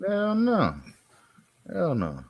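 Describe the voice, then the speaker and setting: A man co-hosting a livestream in an informal, halting way, chatting casually and reading out comments. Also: high voice, huge pitch swings, lo-fi sound. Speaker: co-host.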